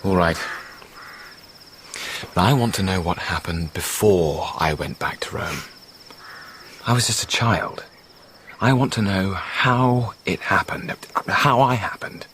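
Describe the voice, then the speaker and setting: Radio drama: deposed emperor, low voice, bitter and wry, noisy outdoor night atmosphere. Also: male voice, slow, long pauses, fairly bright tone. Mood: brooding, probing. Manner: wry, brooding, questioning. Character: deposed former Roman emperor, bitter, self-mocking, weary. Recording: noisy night ambience with insect chirps.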